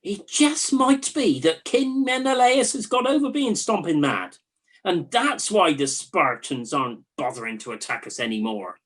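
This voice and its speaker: Bored sentry in a spoof radio play acted: husky, very sing-song delivery, blunt matey mockery. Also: mid-range voice, very animated, moderate pace. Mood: musing. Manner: dry, mocking, matey banter. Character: bored, teasing, blunt Trojan soldier on night watch. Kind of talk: comic radio play dialogue.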